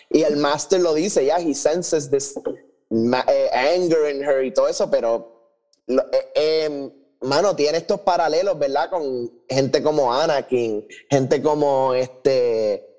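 Mellow, higher-voiced man, unhurried. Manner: switches often between Spanish and English mid-sentence. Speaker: podcast co-host. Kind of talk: casual chat reviewing an audio drama.

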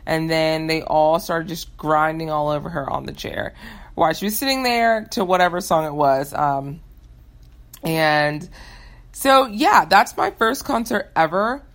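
Upbeat young American woman, slightly low voice. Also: measured pace. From United States. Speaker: host of a Q&A show. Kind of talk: casual chat to listeners.

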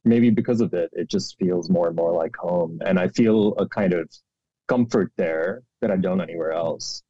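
A man's voice: sits low, normal speed, warm tone, dry recording, dull audio. A man in his forties from Pakistan, a history professor being interviewed, who speaks reflectively with frequent restarts and fillers and a wry turn of phrase. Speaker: guest historian.

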